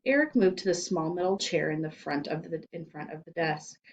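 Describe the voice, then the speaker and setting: Expressive woman reading a children's story. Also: deep voice, a little fast, a little hiss or hum. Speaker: story reader.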